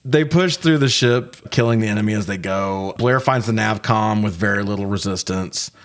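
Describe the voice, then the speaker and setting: Low-voiced man riffing sarcastically. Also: moderate pace. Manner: sarcastic, crude joking, profane. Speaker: podcast co-host reviewing movies. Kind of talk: comedic movie recap, casual talk.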